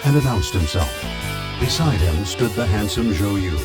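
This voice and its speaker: Brief: male actor as grave game narrator, low voice, formal chronicle delivery, loud music underneath. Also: lively intonation, normal speed, lightly acted. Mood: solemn. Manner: formal, storytelling. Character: grave male narrator of a historical war saga. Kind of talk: scripted narration for a video game.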